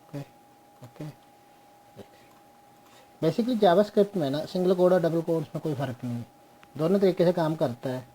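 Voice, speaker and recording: A young man teaching a programming class on screen, slowly walking through code step by step and mixing Hindi with English. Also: a little high, dark tone, husky, low-quality recording. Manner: slow, explains step by step, mixes Hindi and English. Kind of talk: screen-share coding lesson.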